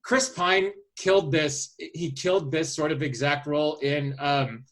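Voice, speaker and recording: A man co-hosting a podcast, talking casually and haltingly as he thinks his way through his picks aloud. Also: on the high side, steady tone, fairly bright tone. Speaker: podcast host. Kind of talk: casual chat, presenting a list of casting picks.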